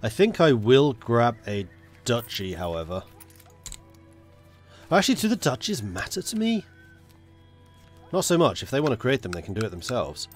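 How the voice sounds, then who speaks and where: A man's voice: medium pitch, huge pitch swings, normal speed, long pauses, soft background music. A man with a cold, congested as he chats casually with viewers while thinking aloud through a strategy game. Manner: chatty, muttering to himself while planning. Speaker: gaming streamer. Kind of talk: casual live gameplay commentary.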